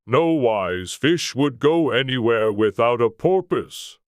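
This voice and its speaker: A man acts out a mournful turtle from a storybook. He talks very slowly and ponderously, musing and quick to take offence, at a middling pitch. It is recorded dry and close. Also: expressive, dry recording. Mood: huffy. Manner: ponderous, thoughtful, huffy. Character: slow, mournful, easily offended male mock turtle creature.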